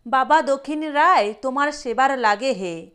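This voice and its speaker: Woman playing a coaxing, crafty village honey gatherer, high-voiced man. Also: animated, unhurried, fairly bright tone, clean tone. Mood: commanding. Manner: coaxing rural dialect talk. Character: crafty, falsely kind rustic male honey gatherer.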